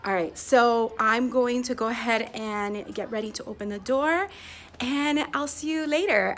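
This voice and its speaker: An excitable young woman talks to a propped-up camera in her classroom, chatting about her school day. Her voice sits a little on the high side, and her manner is upbeat and chatty. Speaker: fourth grade teacher and vlogger. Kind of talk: casual vlog chat. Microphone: camera propped nearby.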